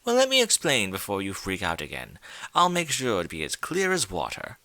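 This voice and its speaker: A man's voice: on the low side, wildly swooping pitch, moderate pace, bright, forceful tone, no room sound, exaggerated voice. A man voicing an impatient, glib male goat-headed creature of chaos in a fan fiction story reading. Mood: breezy. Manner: theatrical, coaxing, exasperated.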